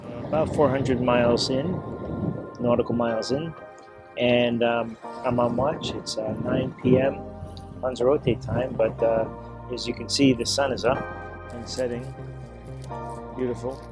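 A man's voice: mid-range voice, fairly even intonation, measured pace, long pauses, over music. A man in his thirties chatting casually to camera aboard a sailboat, with a playful habit of repeating words. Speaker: sailing vlogger. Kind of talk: casual first-person vlog chat to camera. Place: on a sailboat, in the galley and on deck.

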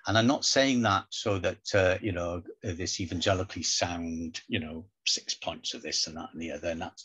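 An older man from South Wales talks through a webcam with a very deep, husky voice. He makes his case loosely and conversationally, with plenty of "you know" between his points. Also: steady tone, normal speed, a bit bright. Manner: chatty, lots of "you know". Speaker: debater. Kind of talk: debate presentation. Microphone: video call webcam.

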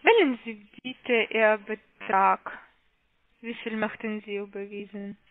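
A woman call-centre caller with a foreign accent in non-native speech, giving halting, insistent instructions and repeating her questions over a muffled phone line. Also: medium pitch, fairly even intonation, no room sound. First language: not German. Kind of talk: scripted phone sales instructions.

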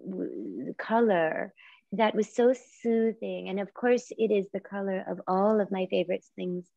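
A woman in her forties whose first language is Japanese, speaking as a visual artist on a video call, soft and reflective with many pauses and restarts. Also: mid-range voice, vocal fry, no echo. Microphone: laptop or phone camera on video call. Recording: muffled lo-fi video call audio.

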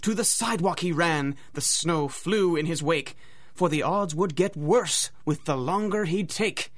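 A man's voice: on the high side, measured pace. A man narrating a radio drama in a theatrical, dramatic storytelling manner.

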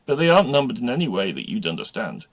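A man voicing a stern male games teacher, sarcastic, sharp and condescending. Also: mid-range voice, huge pitch swings, quick pace, slightly rough, dry recording, exaggerated voice.

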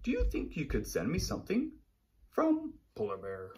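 A friendly, wistful polar bear from a picture book, read by a man, with a lively voice that lifts and dips. The words are expressive and stretched out for small children. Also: fairly high pitch, moderate pace. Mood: pleading, fed up.

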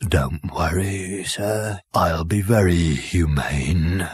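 A man voicing a courteous fat bovine creature that offers itself as the dish of the day, in a slow, ingratiating way with a husky voice. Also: sits low, no echo, studio quality, full character voice. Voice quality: gravelly and husky.

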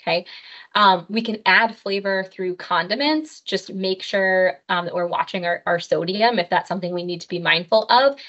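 A woman's voice: medium pitch, normal speed, fairly bright tone. Woman dietitian teaching over a video call. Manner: friendly, practical, with frequent "um" fillers. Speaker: dietitian teaching a cooking class. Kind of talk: online health class with slides. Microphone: webcam on a video call. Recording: slightly dull video-call audio.

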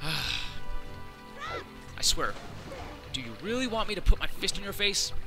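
A young man with a slightly hoarse voice from a cold, giving chatty, sarcastic commentary while playing a video game. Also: sits high, huge pitch swings, slow pace. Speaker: let's play commentator. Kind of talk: casual gameplay commentary. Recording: loud game music under voice.

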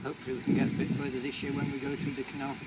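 Older guy on a sailboat, chatty, thinking out loud, picked up on a boat camera. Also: medium pitch, completely flat delivery, racing, very noisy. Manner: chatty, thinking aloud with fillers. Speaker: skipper filming his sailing trip. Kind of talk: casual travel narration. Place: outdoors on the deck of a sailboat at sea. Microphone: action camera on the boat.

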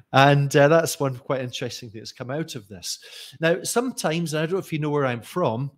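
Scottish man, soft east coast accent, forties, teacher trainer, chatty storyteller, desk mic, home room. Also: fairly high pitch, normal speed. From Scotland. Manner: chatty, animated storyteller with frequent restarts. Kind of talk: live-streamed teaching talk. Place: bright home room with tall windows. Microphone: desk mic with headphones.

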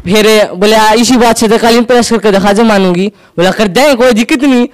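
A young man with a Haryanvi accent doing stand-up storytelling on an indoor stage into a handheld mic, acting out quick back-and-forth dialogue. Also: high voice, a little hiss or hum. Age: young adult. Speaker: stand-up comedian. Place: indoor stage with curtain backdrop.

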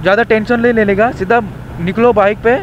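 A man's voice: high-pitched, steady tone, a little fast. A young man, chatting casually and rambling as he rides, mixing in English, recorded on a helmet camera. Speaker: motovlogger. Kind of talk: casual chat while riding. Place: on a motorbike on city roads. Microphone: helmet action camera. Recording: dull lo-fi camera audio with faint traffic noise.

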